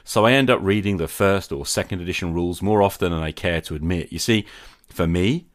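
A man with a low-pitched voice delivers a reflective podcast monologue. His phrasing is measured and emphatic, with deliberate pauses, and the sound is dry with little room on it. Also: no echo. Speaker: podcast host.

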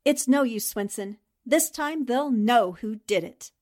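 Woman acting as shrewd lady columnist sleuth, fairly high voice, composed, deliberate, radio mystery drama. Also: expressive, a little mellow. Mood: accusing.

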